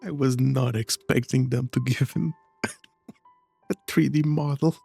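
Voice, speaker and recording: A woman streamer with a husky, creaky voice, making casual, amused asides while playing a game. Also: rumbling low, fairly even intonation, unhurried, dark tone, no room sound, soft background music. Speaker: gaming streamer. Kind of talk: casual reactions during game playthrough.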